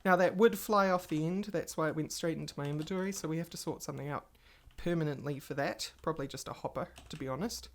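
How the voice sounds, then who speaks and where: A woman with a low voice talks viewers through her game session in a loose, rambling way. She sounds a little stuffy with a cold. Keyboard typing clicks in the background. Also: fast, few pauses. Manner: chatty, rambling, talks to viewers while playing. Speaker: gaming channel host. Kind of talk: casual Let's Play commentary.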